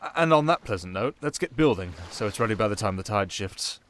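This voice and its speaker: A man with a British accent playing a sardonic, softly dangerous male siren in an ASMR roleplay, with dry, theatrical sarcasm. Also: on the low side, expressive, brisk. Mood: wry, resigned.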